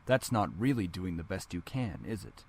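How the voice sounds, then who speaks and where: A man's voice: sits low, lively intonation, normal speed. Man playing a stern, guarded middle-aged father. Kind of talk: visual novel voice acting.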